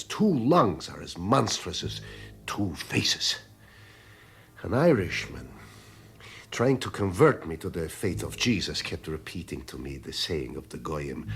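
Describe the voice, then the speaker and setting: Gravelly, very deep, menacing crime boss. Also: male voice, exaggerated voice. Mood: brooding, haunted. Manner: theatrical, mock-courteous, deliberate, dropping into hushed intensity. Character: menacing, dandyish male crime boss, vengeful and courteous. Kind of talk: 1980s radio drama acting.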